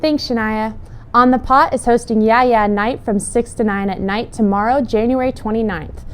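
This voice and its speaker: A young woman with a fairly high voice presents on a student newscast. She reads scripted announcements in a presenter's style. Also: fairly even intonation, moderate pace, slight background noise. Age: young adult.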